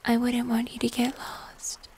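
A young woman playing a gentle, mysterious moth-human hybrid in fantasy ASMR, speaking in soft, breathy whispers. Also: fairly high pitch, completely flat delivery, a little hiss or hum. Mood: gentle, guiding. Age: young adult. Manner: slow, hushed, mysterious, gentle. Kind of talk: cinematic fantasy ASMR roleplay.

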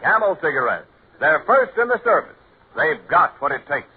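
American male 1940s radio pitchman, lively swooping delivery, slightly husky, tinny vintage sound. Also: moderate pace, over loud music. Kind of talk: 1940s radio advertising read.

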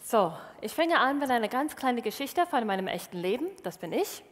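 A woman in her thirties from Wisconsin, a native English speaker with an American accent, giving a lively, self-mocking stage talk in a large hall. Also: on the high side, brisk. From Wisconsin, USA. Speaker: science slam speaker. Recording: reverberant hall.

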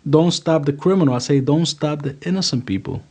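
A man from the Dominican Republic, a police officer in his thirties, giving earnest, measured testimony in a documentary interview and repeating phrases for emphasis. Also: a little high. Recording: music bed playing behind voice. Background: guitar music.

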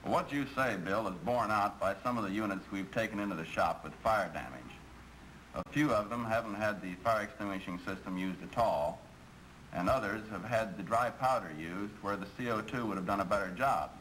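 Man acting as railroad shop man, American accent, lowish voice, matter-of-fact, faintly noisy 1950s film. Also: fairly quick, fairly bright tone, lightly acted. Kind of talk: scripted 1950s training film dialogue. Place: conference room.

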